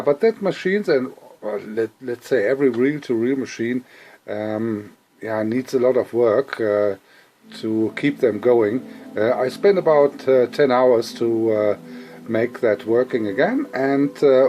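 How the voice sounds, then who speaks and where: A man's voice: mid-range voice, mellow. A man giving an informal, unscripted demonstration as a hobbyist, speaking hesitantly and repeating phrases for emphasis. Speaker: hobbyist presenter demonstrating vintage audio gear.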